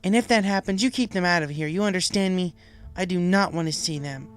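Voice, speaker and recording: Man voicing a bitter young mare, raised pitch. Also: a little fast, clear, resonant tone, no echo, soft background music, close to an everyday voice. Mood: angry, hurt. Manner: sullen, angrily emphatic. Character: young female pony, bitter, wounded, stubbornly defiant. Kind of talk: storybook character voice.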